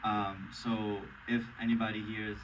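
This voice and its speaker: A man's voice: lowish voice, flat delivery, moderate pace. Earnest man with a Vietnamese accent, far off. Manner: earnest and emotional, with self-interrupting restarts. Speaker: actor on a panel. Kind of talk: casual, personal panel Q&A answers. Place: large theater, Q&A on stage. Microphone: audience member's camera from the seats. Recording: distant, noisy audience camera recording.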